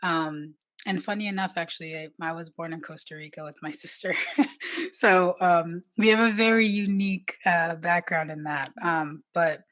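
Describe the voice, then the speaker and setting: A woman with a low voice, speaking as a panel guest. She talks hesitantly, full of fillers and self-corrections, with a light laugh. The sound is dry and close. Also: moderate pace, a bit bright, no room sound. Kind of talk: casual panel conversation.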